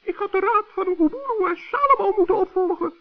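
A tiny, plucky forest gnome for a children's radio tale. His voice is extremely high, thin and squeaky, and he talks in an earnest, fretful, halting way. The old recording is a little muffled. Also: lively intonation. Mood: shaken, rueful. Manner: fretful, halting self-talk.

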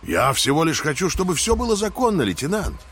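A man's voice: fairly high pitch, sing-song, fairly quick, a bit bright, breathy edge, pitched above the performer's own voice, full character voice. A man voicing a guarded middle-aged pizzeria owner who speaks in a coolly formal, sly way. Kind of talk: audio drama acting.

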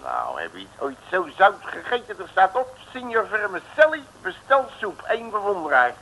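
High, gravelly grumbling detective. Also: male voice, huge pitch swings, normal speed, bright, forceful tone, slight background noise, heavily acted, rough recording. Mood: astonished. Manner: blustering, exclamatory, colourful slangy complaining. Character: grumbling, coarse-mouthed male comic detective. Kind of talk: 1950s Dutch comic radio serial acting. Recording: old radio recording.

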